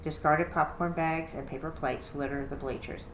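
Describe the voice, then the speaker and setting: A woman with a low voice narrates a first-person children's novel. She reads expressively, as a librarian would at story time. The room is echoey, with faint background noise. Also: fairly even intonation, normal speed. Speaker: librarian reading aloud. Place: indoor room, roomy sound.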